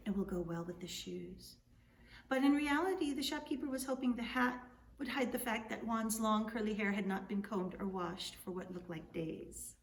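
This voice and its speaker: A woman narrates a storybook with expressive, dramatic phrasing. Her voice is medium in pitch and she lingers on key words. The recording is echoey, with faint background noise. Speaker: author reading storybook aloud. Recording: echoey room, distant mic, faint noise.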